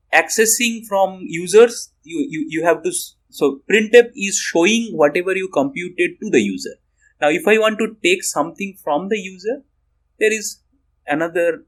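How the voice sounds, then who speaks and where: A middle-aged Indian English lecturer whose voice sits a little high. His pitch rises and falls a lot, and he trips over and restarts phrases as he explains. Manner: rambling with restarts, lively rising and falling pitch. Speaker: university lecturer. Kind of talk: recorded programming lecture.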